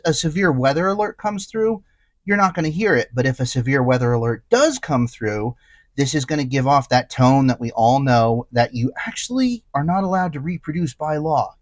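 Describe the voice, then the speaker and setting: A man's voice: on the high side, no echo. A man giving a casual, unscripted hands-on gadget review, explaining things conversationally and thinking aloud. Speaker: tech gadget reviewer and host.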